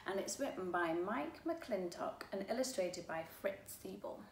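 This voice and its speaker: A middle-aged woman reading a children's book aloud in an expressive, deliberate, rhythmic way. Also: mid-range voice, far from the mic. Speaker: storyteller. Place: living room at home. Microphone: camera a few feet away. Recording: echoey room, faint background noise.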